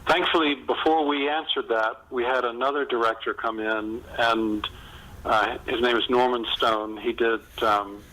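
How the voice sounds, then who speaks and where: A man speaking conversationally as an author being interviewed, in a halting, reflective way with thoughts often restarted mid-sentence. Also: medium pitch, fairly even intonation, sharp tone, rough recording. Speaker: novelist and screenwriter being interviewed.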